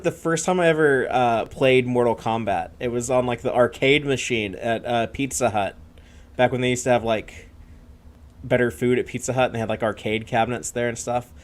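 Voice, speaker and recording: A man in casual conversation as a show panelist, talking in a halting, rambling way. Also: mid-range voice. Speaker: panelist on a live pop culture show. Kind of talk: casual chat, reminiscing.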